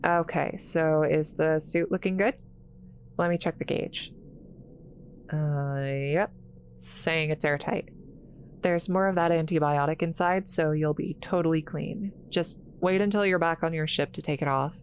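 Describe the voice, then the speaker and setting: A woman playing an awkward, kind scientist in a roleplay audio drama, halting and apologetic, stammering as she talks to the listener. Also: low-pitched, fairly even intonation, slight background noise. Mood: rushed, businesslike.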